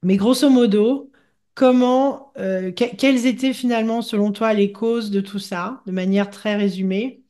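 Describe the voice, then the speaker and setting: A woman hosting an interview, asking gentle, searching questions with frequent restarts. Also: medium pitch, steady tone, unhurried. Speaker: interviewer, host. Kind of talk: interview conversation.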